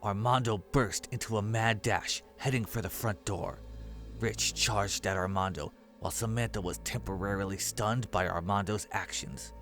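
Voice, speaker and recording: A man's voice: lowish voice, moderate pace, a bit bright, soft background music. A man narrating a scripted horror story as its storyteller.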